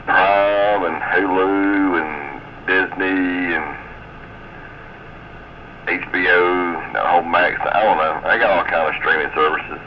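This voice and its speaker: Deep American man, muffled CB radio. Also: fairly even intonation, slow pace. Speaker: CB radio operator. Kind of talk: casual radio chat. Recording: muffled, noisy CB radio transmission.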